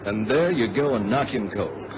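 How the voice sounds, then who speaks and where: A man playing a calm, authoritative airline captain in a radio drama. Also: on the low side, normal speed, lightly acted. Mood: casual, wary. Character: steady, level-headed male airline captain. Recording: noisy, dull vintage radio recording.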